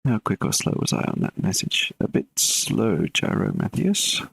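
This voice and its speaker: Low gravelly man coding live. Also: moderate pace. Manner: relaxed, mutters while working, chats with viewers between code. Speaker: game developer streaming live. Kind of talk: live coding stream, thinking aloud.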